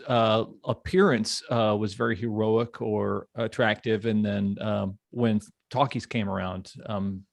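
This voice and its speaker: Gravelly male podcast host, casual and dry. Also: lowish voice, no echo. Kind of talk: casual conversation with anecdotes.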